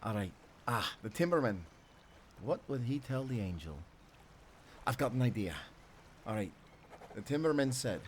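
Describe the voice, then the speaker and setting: A man's voice: mid-range voice, expressive, moderate pace, long pauses, slight background noise, close to an everyday voice. A man playing an affectionate Scottish sailor husband, telling stories in a tender, playful and intimate way. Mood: thoughtful storytelling. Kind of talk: intimate roleplay storytelling.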